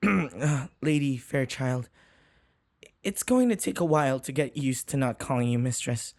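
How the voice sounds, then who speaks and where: A man's voice: medium pitch, darkish tone. A man playing a timid, submissive human servant in an audio roleplay, speaking hesitantly and deferentially with stammers. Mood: nervous, deferential. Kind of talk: audio roleplay acting, one-sided dialogue.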